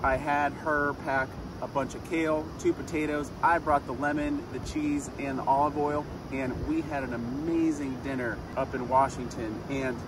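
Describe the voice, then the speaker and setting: Man, 40s, enthusiastic cooking vlog host, outdoors, distant camera mic, loud surf and ambience behind. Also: mid-range voice, steady tone, normal speed, few pauses, background noise. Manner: enthusiastic, chatty. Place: outdoors on a sandy beach. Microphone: camera a few feet away.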